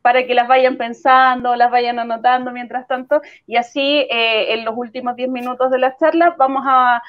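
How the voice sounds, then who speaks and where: A woman with a high voice moderates an online webinar, steering the session and adding "¿cierto?" as she speaks. Her audio sounds dull and lo-fi, like an online call. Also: steady tone, normal speed. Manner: guiding, uses "¿cierto?" tags. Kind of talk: hosting a webinar.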